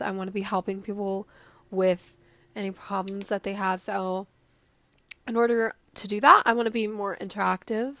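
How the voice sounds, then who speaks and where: Hoarse teenage girl chatting on a podcast. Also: mid-range voice, dry recording. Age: teens. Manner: chatty, rambling, frequent fillers. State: hoarse from a cold. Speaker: podcast host. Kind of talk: casual solo podcast chat.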